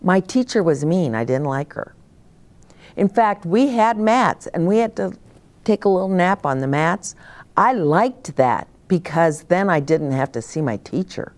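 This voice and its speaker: Older woman, children's storyteller: lowish pitch, highly animated intonation, simple direct address to kids. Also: unhurried. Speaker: children's story time host. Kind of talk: storytelling and advice to young children.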